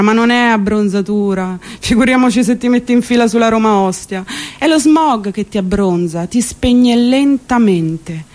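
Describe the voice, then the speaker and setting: Exasperated, sardonic city woman, high-pitched, acted. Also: darkish tone, close to an everyday voice. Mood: weary irony. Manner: dramatic, ironic, emphatic delivery. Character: exasperated, sardonic female city commuter worn down by traffic. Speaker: stage actress. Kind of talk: theatrical radio monologue.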